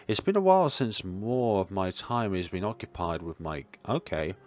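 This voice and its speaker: A man's voice: sits low, expressive, normal speed. A man reading a visual novel aloud for a gaming video, dropping in casual, musing side remarks. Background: game music.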